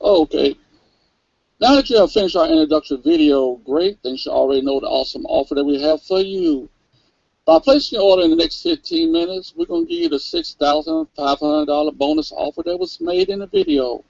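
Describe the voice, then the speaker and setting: A man reading out a sales offer from a script in a brisk, upbeat pitch. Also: big pitch swings, creaky, darkish tone, dry recording. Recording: dry, dull lo-fi webcast audio.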